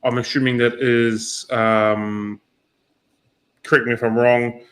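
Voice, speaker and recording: Host: man, croaky voice, easygoing, keeps saying cool. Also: mid-range voice, flat delivery, takes it slowly, fairly bright tone. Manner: easygoing, says cool a lot, hedging questions. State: croaky voice this morning. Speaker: livestream host. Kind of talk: casual interview questions.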